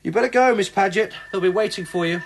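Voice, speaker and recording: An actor playing a calm, persistent police inspector who questions with measured, probing lines in a radio play. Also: male voice, sits high, creaky, soft background music. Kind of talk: radio drama acting.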